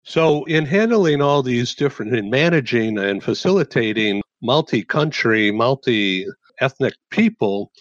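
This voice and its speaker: A man's voice: mid-range voice, animated, measured pace, dry recording, dull audio. A man hosting an interview, asking friendly, leading questions of his guest. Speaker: interview host. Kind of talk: interview conversation.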